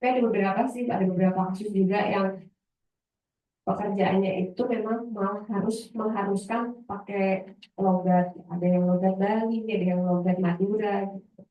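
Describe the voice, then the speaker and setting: A chatty woman with a Javanese lilt teaches a casual workshop, rambling a little and pausing to find her words. She sounds set back from the microphone in a roomy, echoing space. The sound is a bit dull. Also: mid-range voice, steady tone, far from the mic. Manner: chatty, loose, laughs easily, trails off searching for words. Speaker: voice-over trainer. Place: library workshop room.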